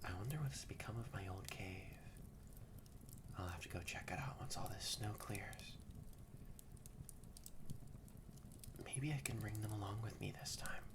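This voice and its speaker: Woman voicing a very low-pitched, bratty catboy. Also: brisk, noisy background. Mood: soft, wistful. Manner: bratty, bossy, flustered, lapsing into soft murmurs. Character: haughty, tsundere young male catboy, secretly soft-hearted. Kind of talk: scripted roleplay audio drama, addressed to the listener.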